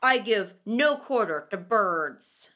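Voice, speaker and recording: A woman voicing a stern, merciless weasel with an emphatic, drawn-out delivery in a children's fable. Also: mid-range voice, animated, pushed and bright, voice pushed down.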